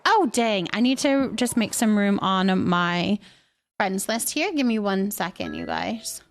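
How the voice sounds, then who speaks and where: A woman's voice: medium pitch, very animated, a little slow. A woman hosting a game livestream, chatting casually with viewers in a giggly, talkative manner. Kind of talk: casual chat with viewers while playing a cooking game.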